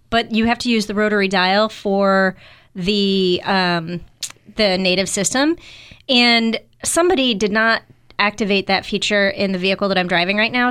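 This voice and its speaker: A woman's voice: medium pitch, a bit bright, clear, resonant tone. Brief: bubbly, agreeable female co-host, a bit under the weather, casual chat. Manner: bubbly, agreeable, laughs often. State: slightly unwell. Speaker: podcast co-host.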